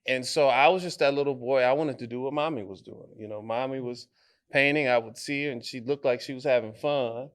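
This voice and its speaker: A man from Detroit, a guest artist, answering interview questions in a thoughtful, halting way, with many fillers and restarts. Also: mid-range voice. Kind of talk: conversational interview.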